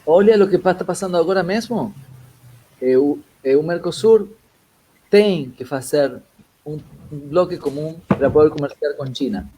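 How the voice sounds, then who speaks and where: A man's voice: a little high, animated, moderate pace, warm tone, slight background noise, poor audio. A man, a composer speaking as a guest in a casual conversation, talking animatedly and switching back and forth between Portuguese and Spanish.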